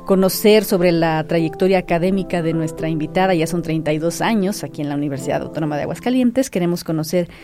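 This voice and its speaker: A woman's voice: lowish voice, fast talker, few pauses, over music. A woman with a Mexican accent hosting a radio interview in a formal, courteous, ceremonious way. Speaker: radio programme host.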